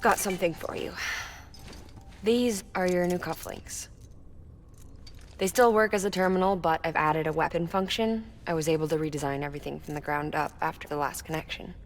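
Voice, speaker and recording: An actress playing a woman engineer in a video game cutscene, explaining new gear in a brisk, matter-of-fact way. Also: low voice. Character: grown woman engineer, brisk, later sorrowful. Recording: game audio with score underneath.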